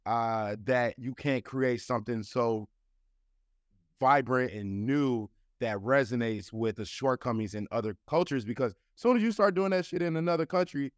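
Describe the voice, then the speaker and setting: A man's voice: medium pitch, normal speed, no echo. A man in his thirties from the United States talks as an interview guest in a mid-range voice. He rambles and circles back, full of "you know" and "like." The sound is close and dry, with no room around him. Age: 30s. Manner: loose, rambling, heavy with fillers like "you know" and "like". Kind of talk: casual conversational interview.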